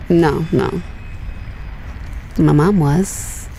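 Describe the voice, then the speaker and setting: Wry young space explorer, low and creaky. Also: female voice, drawn-out words, dark tone, a little hiss or hum, exaggerated voice, low-quality recording. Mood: guarded, wry. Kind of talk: intimate, naturalistic audio drama dialogue.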